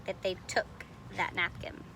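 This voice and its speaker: A woman's voice: measured pace, noisy background. A woman reading a picture book aloud expressively, explaining details to young listeners in casual asides. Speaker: storybook reader.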